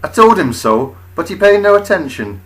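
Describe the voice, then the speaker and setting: Bright-voiced man telling a wry comic story. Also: high-pitched, very animated, moderate pace, rough recording. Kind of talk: dramatic comic first-person story narration.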